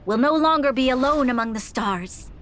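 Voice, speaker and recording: A woman playing a noble, earnest young leader in a video game, speaking in a formal, measured and solemn way. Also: high voice, lively intonation, a bit bright, a little hiss or hum. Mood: solemn, resolute. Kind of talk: dramatic video game acting, oratory.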